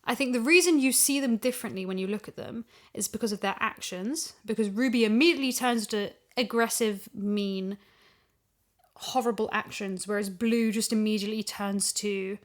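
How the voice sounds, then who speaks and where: A woman with a voice on the high side talks in a relaxed discussion. She works through her ideas aloud, thoughtful and emphatic about her points. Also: normal speed. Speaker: audio drama voice actress. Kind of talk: casual discussion chat.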